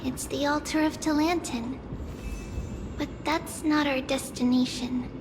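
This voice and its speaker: A woman playing a gentle, solemn guide in a video game, speaking softly and in a measured way of a tragic past. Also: high voice, steady tone, noisy background. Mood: somber, narrating. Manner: soft, measured, speaks of herself as we. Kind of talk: video game story dialogue. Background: background music.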